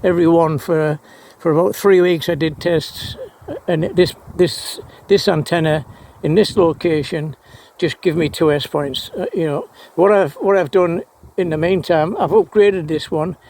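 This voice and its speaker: A man with a fairly high-pitched voice talks casually as a hobbyist presenter. He explains things off the cuff in a halting, rambling way, circling back and repeating phrases as he goes. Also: darkish tone. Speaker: amateur radio hobbyist presenter. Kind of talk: casual explanatory vlog, unscripted. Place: outdoors by trees and a fence.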